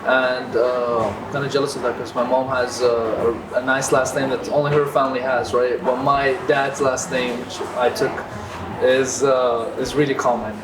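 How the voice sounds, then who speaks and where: A man's voice: mid-range voice, little pitch movement, normal speed, echoey room, recorded from a distance, noisy background. A man from Iran, talking hesitantly as co-host with many fillers and restarts. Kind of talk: casual conversation. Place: cafe.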